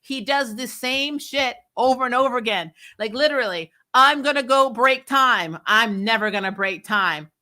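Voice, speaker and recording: A woman hosting a casual TV review chat, talking in an animated, sarcastic, exasperated way with blunt opinions and casual swearing. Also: a little high, moderate pace, few pauses. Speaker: host of a TV show review channel. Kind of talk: casual spoiler recap chat, reading and answering viewer comments.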